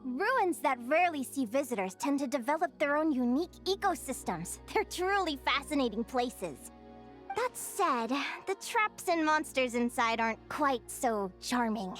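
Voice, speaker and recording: High, animated young adventurer girl. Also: normal speed, soft background music. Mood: uneasy. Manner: bubbly, earnest, expressive. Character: cheerful, curious, earnest young woman adventurer and naturalist. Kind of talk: animated video game character acting.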